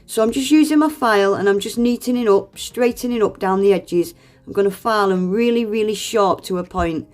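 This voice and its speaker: Chatty woman with a stuffy nose. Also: mid-range voice. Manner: chatty, self-deprecating, laughs often. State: congested with a cold. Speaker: nail art vlogger. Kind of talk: voice-over tutorial narration.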